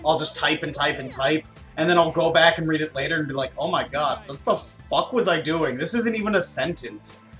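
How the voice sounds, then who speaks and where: A man's voice: a little high, brisk, few pauses, a bit bright, soft background music. A man hosting a casual let's play, joking and swearing freely. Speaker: host, gamer.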